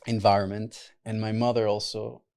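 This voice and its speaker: A man's voice: lowish voice, flat delivery, normal speed, creaky, darkish tone. A young man from Mexico and the US, whose first language is Spanish, chatting loosely as a podcast guest, full of "like" and "right?" fillers. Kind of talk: casual interview talk.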